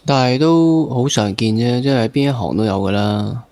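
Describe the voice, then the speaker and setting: A man with a Hong Kong Cantonese accent interviewing casually, summing up and gently challenging with leading questions. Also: mid-range voice, lively intonation, normal speed, dry recording. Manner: probing, summing up and playfully challenging the guest. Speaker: podcast interviewer.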